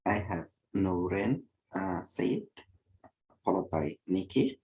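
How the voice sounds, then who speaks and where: A man's voice: low-pitched, very animated, slow, dark tone, slightly rough. A man hosting a video call, briefly welcoming each new fellow and calling on the next speaker. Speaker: fellowship mentor and meeting host.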